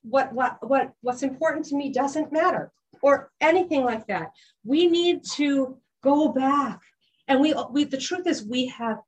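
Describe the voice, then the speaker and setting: A woman with a high voice talks as a life coach, earnest and searching for her words. She often repeats herself and restarts sentences as she explains her ideas. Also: poor audio. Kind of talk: teaching talk.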